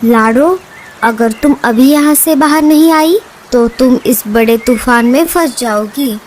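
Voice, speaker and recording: A woman's voice: sits high, unhurried, a little hiss or hum. A woman voicing an insistent female pigeon friend who speaks urgently in a children's cartoon. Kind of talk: Hindi children's cartoon dubbing.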